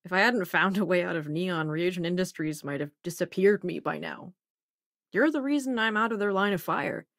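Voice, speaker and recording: A woman's voice: fairly low pitch, normal speed, creaky, fairly bright tone, dry recording, studio quality. A woman playing a sassy, self-assured young scientist and smuggler companion in a space video game, with a wry, casual manner. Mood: wry, confident. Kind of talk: acted video game dialogue lines.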